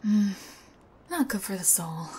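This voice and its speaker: A young woman acting an odd, sweet, sheepish thief in an ASMR roleplay, speaking softly and hesitantly with awkward pauses. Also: medium pitch, lively intonation, slightly rough. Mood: sheepish, earnest.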